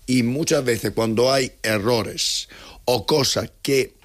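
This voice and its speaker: Male panelist, mid pitch, agreeable and quick to affirm. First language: Italian. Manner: agreeable, frequent short affirmations.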